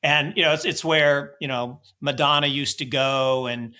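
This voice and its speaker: A man's voice: a little high, steady tone, normal speed, pushed and bright, clean tone. An American man co-hosting a podcast, chatting casually and animatedly about markets and travel, often restarting his sentences. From United States. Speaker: podcast co-host.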